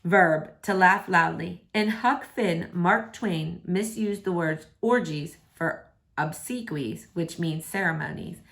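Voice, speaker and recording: A woman's voice: lowish voice, takes it slowly, gravelly, a bit bright. A woman reading a novel in verse aloud, narrating expressively.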